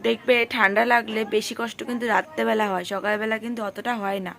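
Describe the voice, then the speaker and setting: A young woman, chatting casually in a daily vlog. Her voice is hoarse and husky from a cold, and she talks to viewers as friends. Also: steady tone, fast. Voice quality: husky, deepened voice. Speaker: vlogger.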